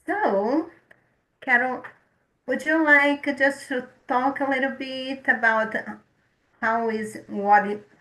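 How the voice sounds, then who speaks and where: A woman hosts a spiritual talk show in a slow, gentle way, now and then stumbling over her words. Her voice is bright and sits a little high. It rings in an echoey room. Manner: gentle, unhurried, occasional stumbles. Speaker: host.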